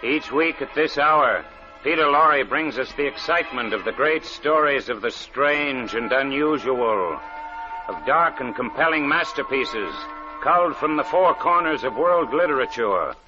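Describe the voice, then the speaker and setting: A man's voice: medium pitch, lively intonation, measured pace. A man reading a scripted 1940s radio drama introduction in a formal, portentous manner. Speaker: program announcer. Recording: muffled 1940s radio recording. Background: dramatic music.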